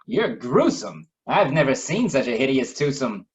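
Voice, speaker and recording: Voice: medium pitch, big pitch swings, moderate pace, natural voice. An author voicing a snooty monocled waffle baron. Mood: haughty.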